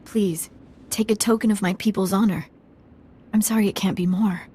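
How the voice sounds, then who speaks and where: An actress playing a dignified village matriarch in a video game, earnest, measured and formal. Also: female voice, mid-range voice, steady tone, mellow, husky, lightly acted. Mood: grateful, earnest. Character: solemn, dignified village matriarch, grieving yet resolute. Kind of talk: video game drama acting.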